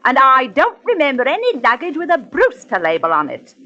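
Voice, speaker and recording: An actress playing a deferential, plain-spoken working-class ship stewardess in a 1940s radio drama, chatty and folksy. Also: female voice, high voice, huge pitch swings, a little fast, bright, forceful tone, full character voice. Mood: matter-of-fact. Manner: folksy, servile phrasing with singsong swoops. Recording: noisy, dull vintage radio recording.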